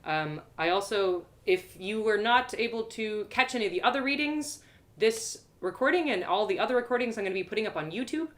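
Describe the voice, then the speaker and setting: An American woman with a bright, forward voice hosts a live online storytelling session. She speaks casually and directly to her listeners over a video call. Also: medium pitch, lively intonation, fairly quick. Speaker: storyteller and host of online readings.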